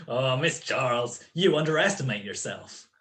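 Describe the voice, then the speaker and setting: A man's voice: mid-range voice, big pitch swings, measured pace, a bit bright. A man playing a suave gangster boss in a radio crime drama, mock-courteous and taunting. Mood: gloating. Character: suave, gloating male gangster boss with a gun.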